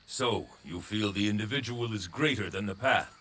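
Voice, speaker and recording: An actor playing a commanding, courtly warlord in a video game, with formal, theatrical delivery. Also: male voice, on the low side, normal speed, sharp tone. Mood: persuasive. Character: commanding male warlord, courtly, reflective and persuasive. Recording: music behind the voice.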